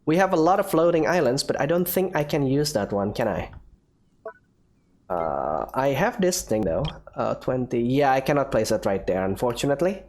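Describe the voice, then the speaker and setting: Female gaming host with a low voice, giving informal play-along commentary. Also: lively intonation, moderate pace, darkish tone, a little hiss or hum. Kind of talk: casual gameplay commentary.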